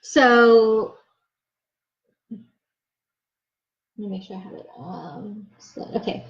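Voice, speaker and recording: A woman's voice: mid-range voice, takes it slowly. A young woman teaching an English lesson, explaining words patiently and checking in with "okay?" after each point. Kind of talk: slow, clear ESL lesson explanation. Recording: slightly dull, lo-fi sound.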